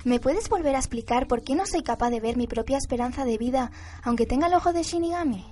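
A woman's voice: high-pitched, expressive, quick pace, warm tone. A woman playing a curious, girlish young woman in a radio drama, inquisitive. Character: curious, girlish young woman questioning her spirit companion.

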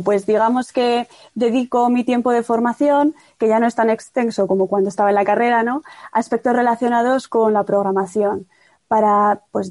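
Measured woman, dry close voice. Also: on the high side, a little mellow, dry recording. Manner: measured, frequent fillers. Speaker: computational linguist guest. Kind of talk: conversational podcast interview.

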